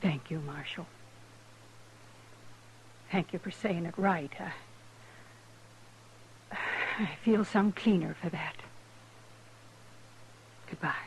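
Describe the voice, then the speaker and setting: A woman's voice: lowish voice, slow, pauses often, rough recording. Hard-bitten, proud frontier woman. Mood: grave, grateful. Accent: American. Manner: blunt, plain-spoken rustic grammar. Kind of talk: 1950s radio western acting. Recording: faintly hissy 1950s radio recording.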